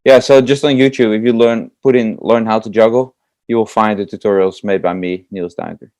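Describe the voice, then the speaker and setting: A man's voice: on the low side, steady tone, fairly quick, a little mellow, no room sound. A young Dutch man with a Dutch accent, a juggler answering interview questions in an eager, chatty way with many fillers. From Netherlands. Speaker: guest, comedy juggler.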